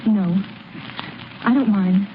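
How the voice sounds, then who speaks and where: Woman playing gentle shopkeeper, noisy old radio. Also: medium pitch, takes it slowly, narrow, tinny audio, close to an everyday voice. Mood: regretful, uneasy. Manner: earnest, hesitant, restrained. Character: gentle, reserved young woman, a shop owner. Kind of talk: 1930s-40s American radio acting.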